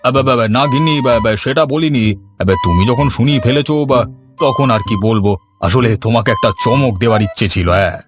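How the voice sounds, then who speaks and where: A man voicing an indulgent, blustering village landlord who is henpecked, in an animated story. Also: medium pitch, fast, lightly acted. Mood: flustered. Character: indulgent male village landlord, nervous of his wife. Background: faint background music.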